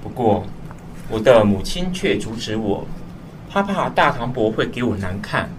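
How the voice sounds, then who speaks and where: A man's voice: on the low side, big pitch swings, a little slow, a little hiss or hum. A man playing a burdened, dutiful eldest son, narrating a radio drama in a solemn, earnest way. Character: burdened eldest son, dutiful and troubled, telling his story. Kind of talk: scripted dramatic first-person narration. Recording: slightly distant mic, music behind.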